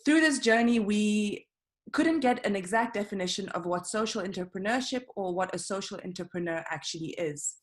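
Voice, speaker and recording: A woman's voice: medium pitch, normal speed. A woman giving a scripted student project presentation, walking steadily through slides with some fillers.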